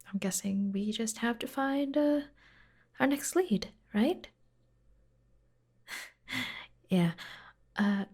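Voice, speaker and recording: A woman playing a caring, teasing secretary in an ASMR roleplay, speaking softly and gently, often dropping to a whisper. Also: medium pitch, husky. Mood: helpful, soft. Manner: slow, gentle, playful, intimate. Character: caring, teasing, devoted young female secretary fussing over her boss. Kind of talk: soft-spoken ASMR roleplay.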